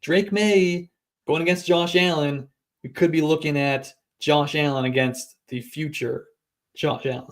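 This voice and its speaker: A man hosting a fantasy football podcast, delivering brisk, stat-heavy analysis in casual chat. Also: fairly high pitch, fairly even intonation. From New England.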